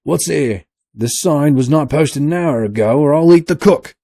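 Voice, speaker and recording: A man voicing a coarse, bullying male sailor who shouts his words. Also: on the high side, animated, moderate pace, vocal fry, dry recording, studio quality, voice pushed up. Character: rough, loud-mouthed male sailor, bullying.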